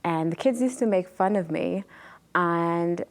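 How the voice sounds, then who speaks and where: A woman in her thirties, originally from Jamaica, telling her life story as an interview guest with frequent pauses and fillers. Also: fairly low pitch. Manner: many ums and pauses, earnest retelling.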